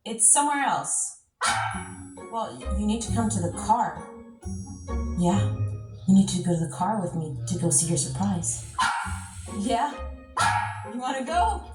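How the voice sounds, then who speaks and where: A woman's voice: mid-range voice, sing-song, measured pace, pauses often. Distant woman coaxing a pet, roomy sound. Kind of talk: casual talk to a pet dog. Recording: distant, roomy, music behind.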